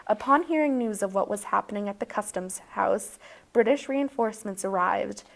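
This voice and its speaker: A woman's voice: medium pitch, moderate pace, vocal fry. A woman hosting a video, narrating a tongue-in-cheek bedtime story in a mock-serious, dramatic way.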